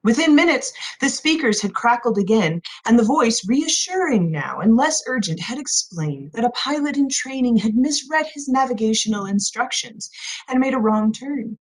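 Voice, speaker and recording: A woman reading a novel aloud as expressive scripted narration. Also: fairly high pitch, normal speed, rough recording. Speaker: audiobook narrator.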